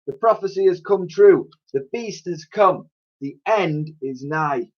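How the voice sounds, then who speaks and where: A man's voice: sits high, expressive, unhurried, muffled recording, voice pushed up. A man voicing a fierce, fanatical bearded old street preacher of doom, crying out dramatically in a storybook reading. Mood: fervent, doom-laden.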